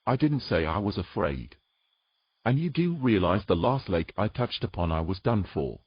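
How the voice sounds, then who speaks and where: Man playing a proud, husky-voiced sarcastic knight. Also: fairly low pitch, sing-song, normal speed, dry recording, highs cut off. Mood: indignant, dry. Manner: formal old-fashioned phrasing, dry sarcasm. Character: proud, nervous, sarcastic male knight in mythical fantasy. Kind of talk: audio drama acting.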